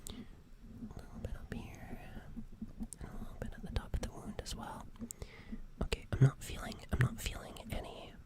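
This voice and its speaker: A woman voicing a gentle, flustered alien nurse in an ASMR roleplay, speaking soothingly and hesitantly and softly repeating her phrases. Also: moderate pace, lightly acted. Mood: concerned, careful.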